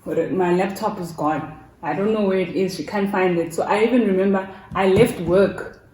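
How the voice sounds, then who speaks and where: A woman's voice: mid-range voice, normal speed, darkish tone. Young South African woman chatting in echoey room. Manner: informal, slips between English and a South African language. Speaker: YouTuber hosting a story time with her mother. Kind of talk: casual chat and storytelling. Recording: roomy, echoing indoor sound.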